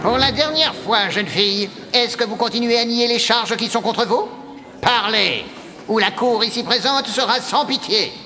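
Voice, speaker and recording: A man's voice: extremely high pitch, normal speed, pushed and bright, poor audio. A man playing a scheming, spiteful cartoon archbishop in a pompous, theatrical and mocking manner. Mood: stern. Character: scheming, sneering, sycophantic archbishop, spiteful villain. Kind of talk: animated fairy-tale dubbing in French. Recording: music behind the voice.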